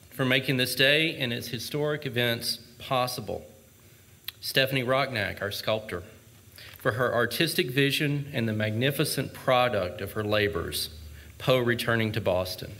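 A man delivering a formal prepared speech at an outdoor ceremony in a measured, erudite manner. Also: medium pitch, sharp tone. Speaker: literary society speaker.

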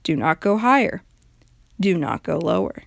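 A woman voicing a solemn, intimidating religious zealot in a low, quiet, emotionless monotone with measured delivery. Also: creaky, dry recording. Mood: cold, instructive.

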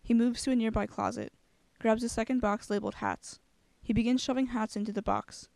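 A woman's voice: a little high, steady tone, fairly quick, creaky, darkish tone. A woman giving a scripted dramatic reading of screenplay passages, scene directions and dialogue alike. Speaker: screenplay reader.

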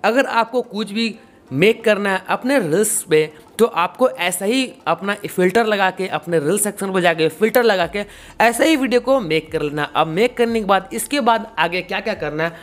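A man's voice: sits high, animated, normal speed, fairly bright tone. A man in his twenties with an Indian accent, hosting a casual step-by-step tutorial in a repetitive, instructional way. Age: 20s. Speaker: YouTube tutorial host.

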